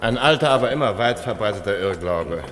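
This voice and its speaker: An actor playing an imperious, condescending professor who runs a research institute, in a blustering German radio play style. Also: male voice, lowish voice, lively intonation, fairly quick, a bit bright. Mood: condescending, brisk. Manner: pompous, blustering. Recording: reverberant hall, clear noise, radio play.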